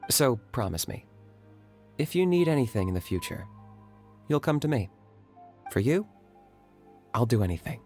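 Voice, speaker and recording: A man voicing a calm, reserved young warrior who speaks in a measured way. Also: mid-range voice, faint music behind. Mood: earnest. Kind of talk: video game voice acting.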